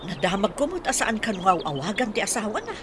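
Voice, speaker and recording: Woman playing a gossipy nosy neighbour lady, super animated, audio drama. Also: mid-range voice, fairly quick, noisy background, lightly acted. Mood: prying.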